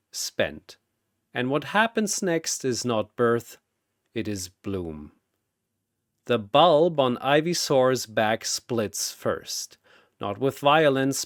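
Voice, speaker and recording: Male narrator for fantasy battle story: solemn, theatrical, measured pace, swooping pitch. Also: no echo, studio quality. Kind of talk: dramatic scripted battle narration.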